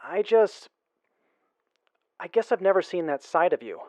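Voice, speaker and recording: A man's voice: high-pitched, very animated, measured pace, darkish tone, dry recording. A man playing a sincere, principled wasteland traveler in an audio drama, speaking a little hesitantly. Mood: concerned, hesitant.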